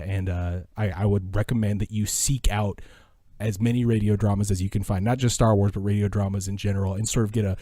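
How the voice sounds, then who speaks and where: A man's voice: on the low side, darkish tone, dry recording, studio quality. A middle-aged American man giving reflective, enthusiastic answers as a podcast guest, speaking earnestly and with emphasis. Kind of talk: reflective, enthusiastic interview talk.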